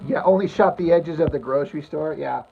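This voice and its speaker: A woman's voice: low voice, brisk, creaky. Rambling blunt woman on tinny audio. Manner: rambling, blunt and crude, reads viewer comments aloud. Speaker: livestreamer chatting with viewers while drawing. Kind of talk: casual livestream chat.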